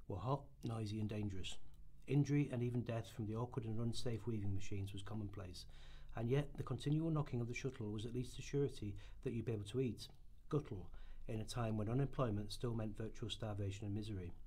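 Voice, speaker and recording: A man reads scripted narration for a historical radio play. His voice is husky and of medium pitch. The delivery is formal and earnest. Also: warm tone.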